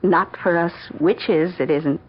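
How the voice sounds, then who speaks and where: An actress playing a calm, affectionate middle-aged witch in a 1970s radio drama, with gentle, well-modulated delivery. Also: on the low side, takes it slowly, breathy edge. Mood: soothing, confiding. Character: calm, mature, affectionate woman who is secretly a witch. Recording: dull old radio broadcast.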